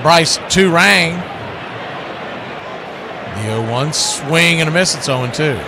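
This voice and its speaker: Male baseball announcer, high voice, folksy showman who chats with listeners between pitches, noticeable background noise. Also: expressive, slow. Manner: folksy showman, stock catchphrases and jokes. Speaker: baseball play-by-play radio announcer and stream host. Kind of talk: baseball play-by-play commentary with chatty asides to viewers.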